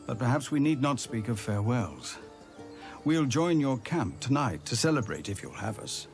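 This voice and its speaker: An actor playing a weary, dignified refugee leader in a video game, earnest and measured. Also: male voice, mid-range voice, sing-song, soft background music. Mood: grateful, earnest.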